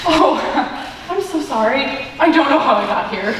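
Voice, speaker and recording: A woman's voice: sits high, expressive, normal speed, fairly bright tone. A young woman playing a confused accountant mistaken for an understudy in a stage play, recorded in an auditorium. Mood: flustered, apologetic. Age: young adult. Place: high school auditorium stage. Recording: echoey auditorium, distant mic, faint noise.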